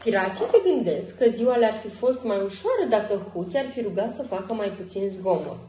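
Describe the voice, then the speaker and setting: A woman reading a children's story aloud in an expressive storytelling style. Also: medium pitch, a little hiss or hum. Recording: roomy echo, faint music.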